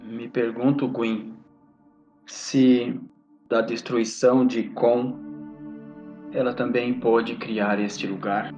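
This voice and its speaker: A man's voice: mid-range voice, flat delivery, takes it slowly, long pauses, a little mellow, faint music behind, close to an everyday voice. A man playing a formal nobleman adventurer who questions things, in a fantasy tabletop game. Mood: pondering.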